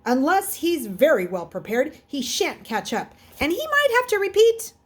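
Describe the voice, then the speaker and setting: Stern storybook office lady, done by a woman narrator, raised pitch, officious, full of warning. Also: big pitch swings, normal speed. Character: stern, disapproving woman at a school office desk.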